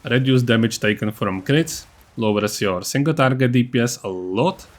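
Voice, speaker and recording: A man's voice: medium pitch, moderate pace. A man giving a scripted, matter-of-fact game guide narration, with a blunt, self-deprecating streak. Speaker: gaming video creator.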